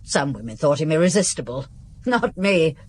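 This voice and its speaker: Wary former servant, radio drama, low voice, lively swooping delivery, dry, blunt. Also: female voice, moderate pace, breathy edge, heavily acted. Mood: guarded. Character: guarded, sharp-tongued woman, former servant witness. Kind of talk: 1950s-set radio drama acting.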